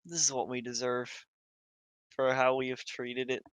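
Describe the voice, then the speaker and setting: A young man with an American accent discusses things casually as a podcast co-host. His voice is crisp-edged and bright, sitting at an average pitch. The sound is close and dry, with no room around it. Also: little pitch movement, moderate pace, dry recording, poor audio. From United States. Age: young adult.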